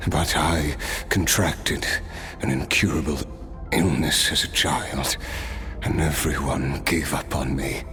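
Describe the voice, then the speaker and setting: An ancient noble warrior spirit from a video game, with an extremely low voice. He speaks slowly and deliberately, solemn and weary, like someone recounting a long life. Music plays faintly behind him. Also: a bit bright, heavily acted. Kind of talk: video game voice acting, dramatic storytelling.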